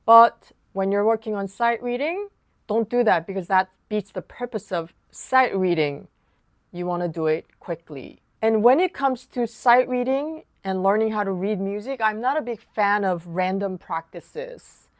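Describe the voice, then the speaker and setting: Slow, calm woman piano teacher. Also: medium pitch, dry recording, studio quality. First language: Korean. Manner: calm, unhurried explaining with casual fillers. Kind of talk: online lesson talk.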